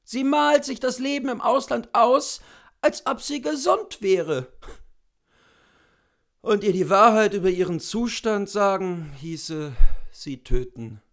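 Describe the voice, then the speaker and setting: A man voicing a distressed gentleman husband, earnest and pleading, in a dramatised classic story reading. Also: high voice, lively intonation, takes it slowly, gravelly, exaggerated voice. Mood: exasperated.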